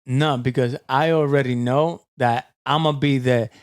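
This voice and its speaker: A man from the Dominican Republic co-hosting a podcast, relaxed and matter-of-fact in casual chat. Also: medium pitch, measured pace, smooth, no room sound, clean studio sound. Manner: relaxed, matter-of-fact, says "bro" often. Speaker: podcast co-host.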